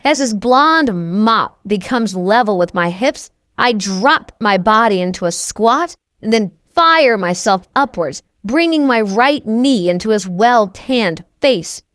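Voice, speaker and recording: A woman's voice: a little high, expressive, takes it slowly, few pauses, a bit bright, dry recording, clean studio sound. A woman reading first-person scripted novel narration as an audiobook narrator.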